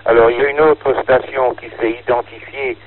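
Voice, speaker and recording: An older man talking casually over amateur radio, giving call signs and speaking in a hesitant, halting way. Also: medium pitch, steady tone. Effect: two-way radio. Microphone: radio transceiver microphone. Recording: narrow, lo-fi two-way radio link.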